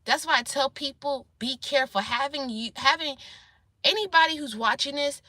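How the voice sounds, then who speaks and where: A woman with a squeaky, fairly high voice that has a sharp, bright edge. She talks straight to camera in a blunt, preachy way and swears freely. The sound is dry and close. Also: normal speed, dry recording. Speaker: self-employed vlogger giving life advice. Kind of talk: casual monologue to camera.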